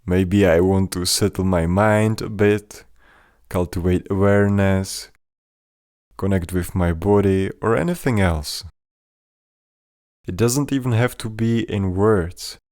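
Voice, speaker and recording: A man with a fairly low voice guides a mindfulness meditation. He speaks very slowly and gently, giving measured instructions. The sound is dry, with no room echo. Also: steady tone.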